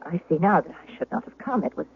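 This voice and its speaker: An actress playing an elegant, proud and conflicted duchess, with a formal, haughty, dramatic manner in 1970s radio drama. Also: female voice, lowish voice, sing-song, fast, vocal fry. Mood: indignant. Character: elegant, proud, conflicted young duchess resisting an old flame. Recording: muffled vintage radio recording, faint hiss.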